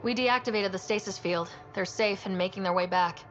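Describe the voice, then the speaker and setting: An actress playing an earnest young human space explorer in a video game, plain-spoken and diplomatic. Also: female voice, medium pitch, a little fast, natural voice. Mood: reassuring. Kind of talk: cinematic video game acting. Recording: dull game audio with faint music.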